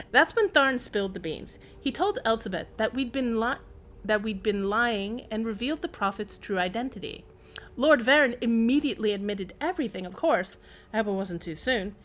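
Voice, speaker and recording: A woman's voice: fairly high pitch, fairly bright tone. A woman reading out the game dialogue of an embittered, loyal warrior companion who trusts few.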